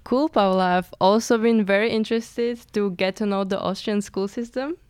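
A woman's voice: mid-range voice, steady tone, normal speed, a little mellow, clear, resonant tone, dry recording. A twenty-one-year-old woman from Slovakia, a student introducing herself in a friendly, plain way. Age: 20s. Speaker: student guest.

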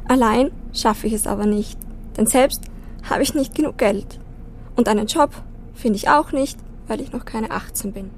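A woman's voice: fairly high pitch, moderate pace, darkish tone, a little hiss or hum, close to an everyday voice. A woman playing a guarded, troubled teenage girl in an amateur audio drama. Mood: despairing. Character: desperate, guarded teenage girl under eighteen, dreaming of studying.

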